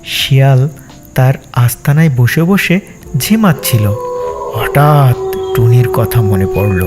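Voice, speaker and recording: Guy narrating a kids cartoon tale, reads really slowly, soft music in the back. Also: mid-range voice, lively intonation. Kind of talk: scripted storybook narration for a cartoon.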